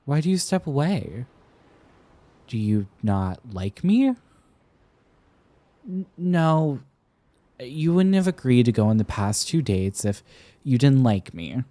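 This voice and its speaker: A young man playing an affectionate, teasing sweetheart smitten with an old friend, speaking tenderly and haltingly in an intimate roleplay ASMR style. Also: medium pitch, very animated, warm tone, no room sound. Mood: earnest. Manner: tender, playful, halting with pauses and repeats.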